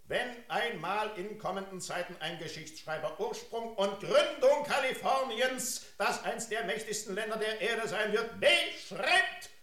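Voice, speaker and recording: Pompous mayor orating in radio drama: very high, bright voice, bombastic delivery. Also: male voice, animated, heavily acted. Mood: grandiose. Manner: bombastic, theatrical, dramatic drawn-out words. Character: pompous mayor delivering a grandiose banquet eulogy. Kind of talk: declamatory German radio drama oratory. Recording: echoey hall.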